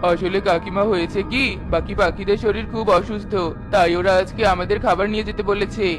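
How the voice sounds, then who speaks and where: Guy doing a greedy crow in a kids cartoon, high voice, sneaky n wheedling, music under it. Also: steady tone, a little fast, close to an everyday voice. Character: sly greedy male crow Kalu, wheedling liar. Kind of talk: children's fairy tale cartoon acting.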